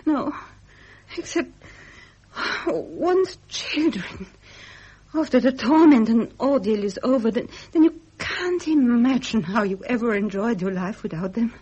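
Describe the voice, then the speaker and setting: A British actress playing an anxious, devoted, gentle royal wife in a period radio drama, speaking hesitantly and emotionally. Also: female voice, a little high, lively intonation, unhurried, husky, muffled recording. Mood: sorrowful, resigned.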